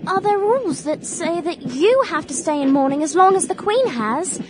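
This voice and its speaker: A woman's voice: very high-pitched, lively intonation, moderate pace, noisy background. A woman playing a naive, polite, eager nineteen-year-old Victorian country girl in a radio drama, earnest and full of questions. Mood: artless, curious. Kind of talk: period radio drama acting.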